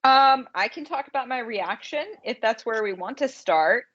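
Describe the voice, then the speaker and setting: A woman's voice: on the high side, animated, moderate pace, fairly bright tone, highs cut off. A woman co-hosting a podcast about romance writing, chatting casually as an author.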